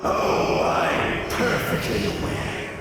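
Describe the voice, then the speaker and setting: A man's voice: slow pace, distant mic. A man voicing a smug, grandiose nightmare spirit villain in an anime-style video game, theatrical and sneering, through a cavernous monster effect. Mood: smug, scornful. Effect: heavy echoing monster reverb. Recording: heavy echo, long reverb, loud music. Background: loud game music.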